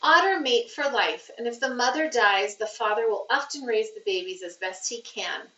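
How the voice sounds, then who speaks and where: Female read-aloud narrator for children's fiction, expressive and crisp-edged bright tone, recorded a little distant and dull. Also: on the high side, normal speed. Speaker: teacher reading aloud. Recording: roomy, muffled, distant microphone.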